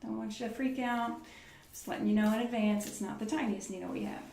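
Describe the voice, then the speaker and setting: Casting: woman, unhurried and softly spoken, reassuring ASMR doctor roleplay, roomy noisy recording. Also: a little high, fairly even intonation, gravelly, recorded from a distance. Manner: soft-spoken, reassuring, murmured step-by-step instructions. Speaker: ASMR performer playing an orthopedist. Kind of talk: ASMR medical roleplay, one-on-one personal attention. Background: clicks and handling sounds of props.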